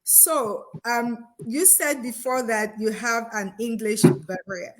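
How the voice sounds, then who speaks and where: A woman hosting a business interview, friendly and halting, with many fillers. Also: on the high side, rough recording. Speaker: host. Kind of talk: interview over video call.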